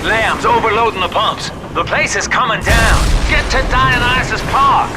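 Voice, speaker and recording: An urgent male ally from a video game, played by an actor, his voice pushed extremely high. Very loud noise rumbles behind him. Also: moderate pace, lightly acted. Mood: urgent, alarmed. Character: urgent gruff ally warning the hero.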